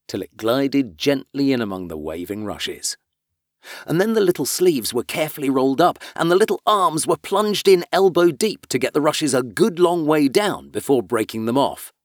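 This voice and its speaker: Animated man narrating a storybook. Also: medium pitch, dry recording, studio quality. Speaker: audiobook reader.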